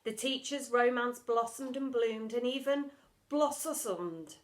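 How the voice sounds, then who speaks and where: A woman with a fairly high voice narrates a children's storybook. Her delivery is expressive and theatrical, made for young listeners. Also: slow, reverberant. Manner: expressive, theatrical delivery with acted sound words. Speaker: teacher reading story aloud.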